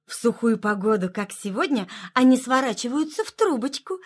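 A woman voicing a fox in a children's forest audio play, with a gentle sing-song delivery. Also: on the high side, expressive, moderate pace. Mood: gentle, reassuring. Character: small fox family member in a children's forest tale.

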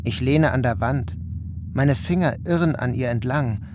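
A man giving calm, measured first-person narration of a literary story. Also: mid-range voice, background noise. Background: music.

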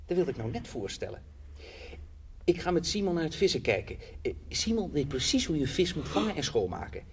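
Lively, teasing man, distant recording. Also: fairly high pitch, a little fast. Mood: breezy. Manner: playful, cajoling, wry. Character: teasing, coaxing man on holiday with his hungover partner. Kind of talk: Dutch radio play acting. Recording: distant, slightly hissy old radio recording.

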